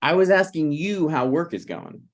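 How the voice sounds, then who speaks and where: A man's voice: on the high side, sing-song, normal speed. A man in his thirties at a script table read, playing a needy, prickly struggling screenwriter who talks in a pressing, conversational way. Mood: aggrieved. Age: 30s.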